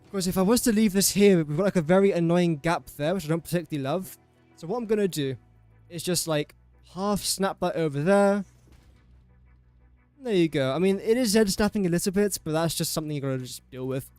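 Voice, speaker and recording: A man's voice: high voice, a little fast, darkish tone, dry recording, soft background music. Young English man with a dry, close sound. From England. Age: young adult. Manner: self-deprecating, talks to the objects he places. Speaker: gaming streamer. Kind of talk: casual live gameplay commentary.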